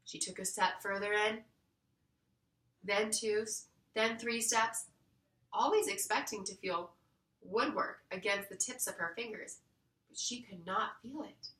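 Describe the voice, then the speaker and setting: A woman reading a children's story aloud in an expressive storytelling style. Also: mid-range voice, measured pace. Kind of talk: expressive scripted storybook narration. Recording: roomy echo, distant mic.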